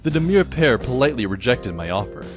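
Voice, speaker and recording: A man playing a wry high school boy who narrates an audio drama in the first person, musing as he goes. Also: sing-song, quick pace, over music, lightly acted.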